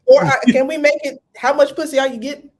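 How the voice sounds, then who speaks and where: A woman's voice: high-pitched, fairly even intonation, quick pace, darkish tone. A woman co-hosting a podcast, teasing and blunt in a playful way. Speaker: podcast co-host. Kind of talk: casual chat.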